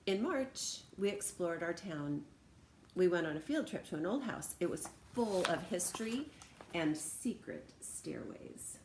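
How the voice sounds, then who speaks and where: A woman with a slightly low voice reads a children's story aloud. Her delivery is animated, with dramatic emphasis on key phrases. The room echoes around her and there is faint noise. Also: unhurried. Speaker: storyteller reading a picture book aloud. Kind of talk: expressive children's read-aloud narration. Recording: roomy echo, background noise.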